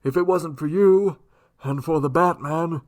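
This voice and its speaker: A man voicing a grave, slow masked vigilante. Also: fairly high pitch, darkish tone, natural voice. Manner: measured, grave, formal. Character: Sandman, grim 1940s masked male vigilante.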